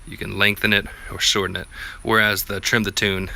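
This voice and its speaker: A man with a very bright, forward voice walks through a DIY tutorial in a plainspoken, casual way. His tone stays flat and even throughout. There is some background noise on the handheld recording. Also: fairly low pitch, moderate pace, vocal fry. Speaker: vlogger presenting a DIY gear tutorial. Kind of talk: casual unscripted how-to walkthrough.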